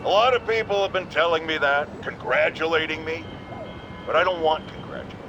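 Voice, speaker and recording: An actor playing a pompous, self-righteous radio pundit in a video game, over a noisy broadcast. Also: male voice, fairly high pitch, fairly quick, lightly acted. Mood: self-satisfied.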